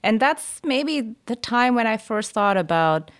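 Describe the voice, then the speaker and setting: A woman's voice: a little high, expressive, unhurried, clean tone. A woman in her fifties from Indonesia and Germany, a podcaster answering interview questions conversationally as a guest. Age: 50s.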